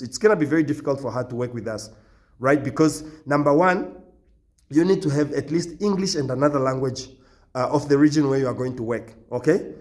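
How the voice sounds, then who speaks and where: Hoarse man, halting and insistent. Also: on the high side, darkish tone. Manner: halting and repetitive, insistent, stern warnings. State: hoarse, nearly lost voice. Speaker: company founder addressing job applicants. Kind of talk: recorded video announcement.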